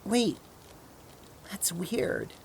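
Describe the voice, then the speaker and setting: A woman playing an earnest, feisty teenage girl in an amateur audio drama, halting and casual, blunt when annoyed. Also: mid-range voice, gravelly, heavy background noise. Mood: uneasy, hesitant.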